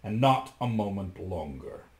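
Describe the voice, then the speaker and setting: Bluebeard, storybook villain, read by a man: cruel husband, slow heavy threats, echoey room. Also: fairly low pitch, lively intonation, darkish tone, pitched below the performer's own voice. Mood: menacing.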